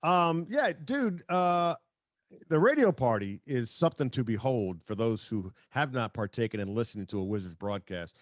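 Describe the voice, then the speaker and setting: A man hosts a sports podcast in a conversational, slangy way. His pitch sits in the middle and the sound is close and dry, with casual phrasing throughout. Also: lively intonation, moderate pace, dry recording. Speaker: podcast host. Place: remote video call.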